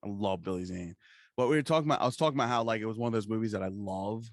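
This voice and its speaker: A man's voice: fairly low pitch, racing, dry recording, clean studio sound. A man co-hosting a podcast, chatting casually in a profane, opinionated fan's banter. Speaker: podcast co-host. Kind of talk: casual pop-culture chat.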